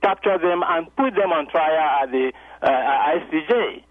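A man calling into a radio show, talking haltingly with many fillers and growing insistent. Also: on the high side. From Nigeria. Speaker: caller. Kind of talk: radio call-in. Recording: tinny phone line.

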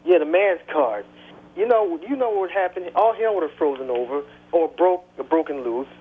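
A man's voice: fairly high pitch, lively intonation, moderate pace, slightly rough, narrow, tinny audio. A male caller to a radio show who speaks indignantly, with hesitant starts and repeated words. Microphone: phone line.

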